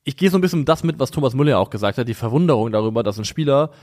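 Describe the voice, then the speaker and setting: A man chatting football analysis on a podcast. His voice sits at an ordinary middle pitch. He weighs each point slowly, often pausing on fillers before finishing a thought. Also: animated, darkish tone. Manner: measured, hesitant with frequent ums. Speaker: podcast co-host. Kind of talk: casual football analysis chat.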